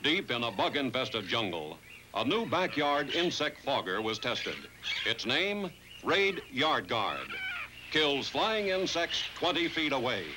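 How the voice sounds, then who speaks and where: A man's voice: medium pitch, very animated, slow pace. An American man reading a dramatic, emphatic 1960s television advert voice-over. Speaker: commercial announcer. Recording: noisy vintage television commercial.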